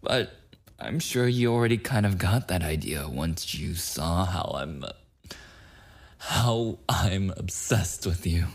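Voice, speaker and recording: Man acting as obsessive yandere boyfriend, low breathy voice, unhurried, tender, possessive, intimate ASMR roleplay. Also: lively intonation, full character voice. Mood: coy, affectionate. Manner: halting, rambling, soft breaks into whispering.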